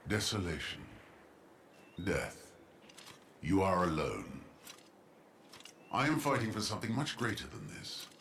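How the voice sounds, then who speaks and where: An actor playing a solemn, defiant guardian boss in a video game, speaking in grave, declamatory lines. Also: male voice, low voice, sing-song, a little slow, pauses often, fairly bright tone, slight background noise, full character voice. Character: solemn male guardian boss defying a stranger. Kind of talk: dramatic video game voice acting. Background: game music.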